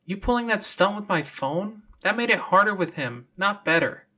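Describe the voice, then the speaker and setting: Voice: medium pitch, expressive, normal speed, sharp tone, voice pushed up. A narrator voicing a teasing, moody and blunt teenage girl in an audiobook. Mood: hurt, blunt.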